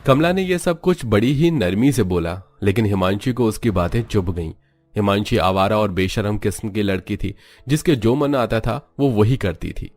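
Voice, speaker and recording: A man narrating a cartoon story in a steady, dramatic storytelling manner. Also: fairly low pitch, moderate pace, faint music behind.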